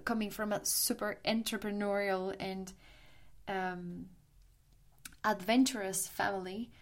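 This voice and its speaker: Colombian-born woman telling her life story. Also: medium pitch. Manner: chatty, frequent restarts and fillers, laughs while telling. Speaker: podcast host. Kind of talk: personal storytelling monologue.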